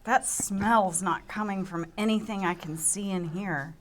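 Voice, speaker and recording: A woman playing a tough, all-business forensic team leader of about forty, brisk and commanding, at a table read. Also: mid-range voice, close to an everyday voice. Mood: businesslike.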